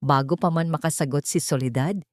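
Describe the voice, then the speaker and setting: Low-pitched woman narrating a drama. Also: fairly quick, no echo, studio quality. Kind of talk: dramatic scripted storytelling.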